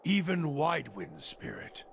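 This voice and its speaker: An actor playing a bitter, fanatical older fire priest in a fantasy game, gravelly and scornful, preaching in slow pronouncements. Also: male voice, on the high side, wildly swooping pitch, full character voice. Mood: grim.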